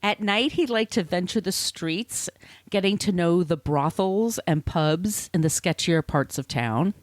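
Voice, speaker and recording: A woman's voice: on the low side, a little slow, no room sound. A woman hosting a podcast, telling a researched story in a conversational, irreverent way with casual swearing. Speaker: podcast host.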